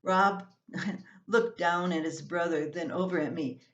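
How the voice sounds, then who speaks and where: Older lady reading out her own funny family column, kinda low voice, tells it like a story. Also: takes it slowly, highs cut off. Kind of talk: scripted humorous family column read aloud.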